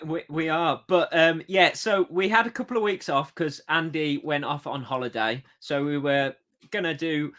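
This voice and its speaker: A man's voice: a little high, moderate pace, fairly bright tone. A man hosting a podcast, chatting in a friendly, easygoing way. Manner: friendly, chatty, a few fillers. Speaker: podcast host. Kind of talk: casual chat.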